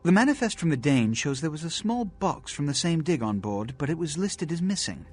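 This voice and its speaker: Imperious vampire prince, dramatically animated. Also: male voice, on the high side, fairly quick, soft background music. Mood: commanding. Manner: formal, haughty, theatrical. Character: imperious, scheming vampire prince, a polished commanding ruler. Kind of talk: video game character acting.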